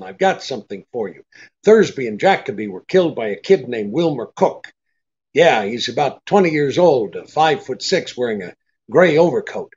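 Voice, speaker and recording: An actor playing a tough, shrewd private eye in old-time radio drama style, clipped and hard-boiled. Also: male voice, mid-range voice, a little mellow, dull audio, lightly acted. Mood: businesslike.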